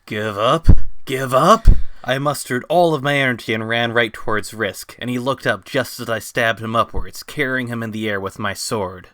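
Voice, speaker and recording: A man's voice: mid-range voice, normal speed, few pauses, sharp tone, no echo. A man giving a dramatic scripted narration of a fantasy story. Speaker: narrator, fan fiction reader.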